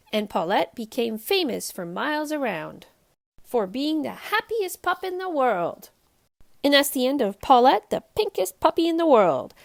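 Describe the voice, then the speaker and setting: A woman who hosts a children's read-aloud show, narrating a storybook in an expressive, emphatic way for young listeners. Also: high-pitched, vocal fry.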